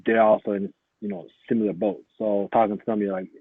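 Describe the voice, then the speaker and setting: A man born in Somalia, a guest in a casual interview, talking in a relaxed, rambling way full of fillers and restarts. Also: fairly low pitch, fast talker, slightly rough, no room sound. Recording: tinny phone-like lo-fi line, dry.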